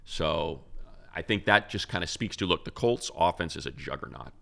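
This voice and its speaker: A man co-hosting a casual sports talk show, breaking down a game in a rambling, animated way with many restarts. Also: low voice, moderate pace, vocal fry. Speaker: radio play-by-play announcer, co-host.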